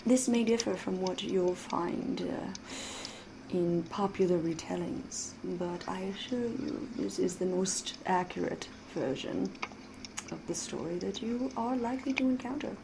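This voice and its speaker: A woman acting as ancient vampire woman, ASMR roleplay, very slow, soft whispery voice, coy and ominous, noisy ambience behind. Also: lowish voice. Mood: coy, flattered. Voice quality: breathy, soft, often whispering. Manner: coy, deliberate, ominous, theatrical, drawn-out phrasing.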